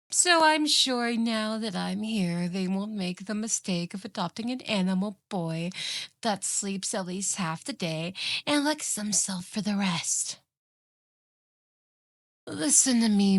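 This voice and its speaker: Woman acting a smug, slow-talking kitsune yandere. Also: medium pitch, no room sound, studio quality. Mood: smug, possessive. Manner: sugary, boastful, taunting. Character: smug, possessive, obsessive kitsune fox-spirit yandere. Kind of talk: scripted ASMR roleplay acting.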